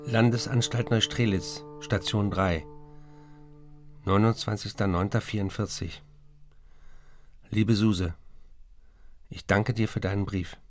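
A man's voice: fairly low pitch, fairly even intonation, slow pace, long pauses, soft background music. An actor playing a troubled writer in an asylum who reads his letter aloud in a sober, formal way.

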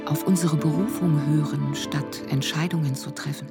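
An actress playing a contemplative voice, reciting poetic radio play lines in measured, solemn short phrases. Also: female voice, deep voice, fairly even intonation. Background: loud new-age music.

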